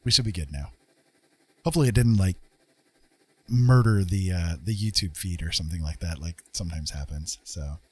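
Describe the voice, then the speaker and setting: A man's voice: low voice, normal speed, breathy edge. A man hosting a livestream, talking in a casual, unscripted, rambling and conversational way. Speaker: live streamer hosting a chat stream.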